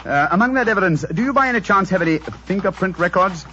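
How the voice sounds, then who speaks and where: A brisk, authoritative English gentleman detective speaks in a slightly high, urbane voice. It is old radio drama, a bit muffled with faint noise. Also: lively intonation. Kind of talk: 1940s radio acting.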